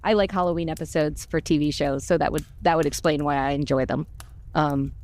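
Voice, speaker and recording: A woman's voice: sits low, steady tone, fairly quick, a little mellow. A middle-aged woman telling stories casually, in an exuberant, chatty and self-deprecating way. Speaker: audio drama voice actor and podcast cast member. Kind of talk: casual conversational storytelling.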